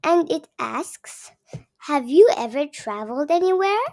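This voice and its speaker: Small indian girl age 7, slow careful reading for her viewers, voice goes up and down a lot. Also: sits high, lively intonation, a little mellow. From Gujarat, India. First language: Gujarati. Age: child, seven years old. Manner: simple, teacher-like explaining with repetition. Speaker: young channel host. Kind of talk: reading a story aloud and explaining it to viewers.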